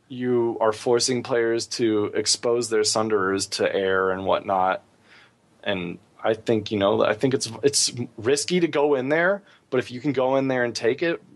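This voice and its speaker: A man co-hosting a gaming podcast, talking casually and giving his opinions freely. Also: medium pitch. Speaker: podcast co-host.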